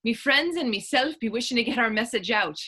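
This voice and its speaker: A woman's voice: a little high, animated, brisk, pushed and bright, slightly rough, exaggerated voice. A woman playing Hannah, a spirited, determined Irish actress and patriot, in staged readers theater dialogue with a coaxing, earnest lilt. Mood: fervent.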